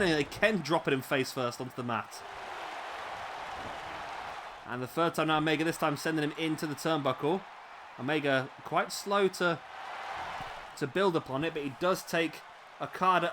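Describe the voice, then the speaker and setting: A man's voice: on the high side, noisy background. Man calling wrestling play-by-play. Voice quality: slightly raspy. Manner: excitable at big moves, chatty asides. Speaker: let's play commentator. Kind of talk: play-by-play game commentary.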